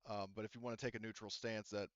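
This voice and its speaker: A man's voice: on the low side, little pitch movement, fast, no echo, lo-fi sound. A man running a tabletop game as game master, relaying a character's offer in a rambling way with lots of fillers. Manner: rambling, many fillers like "you know" and "like".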